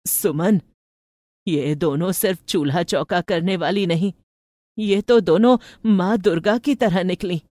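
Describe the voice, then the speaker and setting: A woman voicing an older, traditional village mother in an animated moral story, opinionated yet loving, with a firm, homely manner. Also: lowish voice, measured pace, no echo, clean studio sound. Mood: proud, loving.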